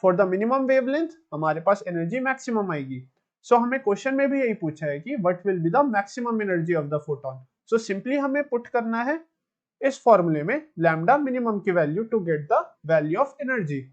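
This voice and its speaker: A man's voice: sits high, very animated, a little slow, lo-fi sound. A man with an Indian accent explaining step by step as a physics teacher, addressing students directly and mixing Hindi with English.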